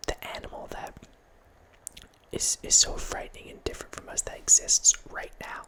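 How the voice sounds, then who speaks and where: A man in his thirties whispering a rambling ASMR talk as the host of his channel, often restarting with soft laughs, close to a studio mic in a small room at home. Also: normal speed. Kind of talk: whispered ASMR ramble about a horror movie. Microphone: large studio mic close in front, with headphones.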